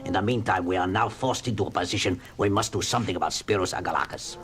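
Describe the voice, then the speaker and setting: An actor playing a cold, menacing smuggling boss in a 1970s audio drama, terse and commanding. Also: male voice, deep voice, very fast, over music, lightly acted. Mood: cold menace.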